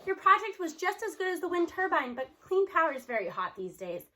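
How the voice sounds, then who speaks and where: A woman voicing a kindly, reassuring female teacher in a children's storybook, earnest and gently firm. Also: very high voice, big pitch swings, a little fast, heavily acted. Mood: consoling.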